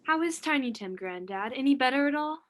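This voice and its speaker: An affectionate young working daughter, played by a woman, with a fairly high voice. The lines are acted in radio play style. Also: expressive, a little fast, fairly bright tone.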